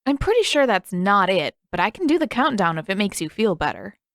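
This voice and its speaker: A woman's voice: medium pitch, very animated, brisk, dry recording, studio quality. A woman voicing a cheerful, easygoing young boy in a storybook, light and playful.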